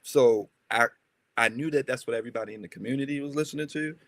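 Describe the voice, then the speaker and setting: A man's voice: medium pitch, fast. A young man from Maryland, a rapper, telling stories in a casual interview with lots of fillers and tangents. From Maryland, USA. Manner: rambling, animated storytelling with many fillers.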